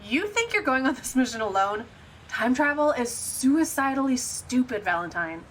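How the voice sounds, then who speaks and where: An actress playing a tough shapeshifter sidekick with a blunt, teasing manner in an audio drama. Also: female voice, high voice, very animated, normal speed, slight background noise, rough recording. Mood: blunt, scornful.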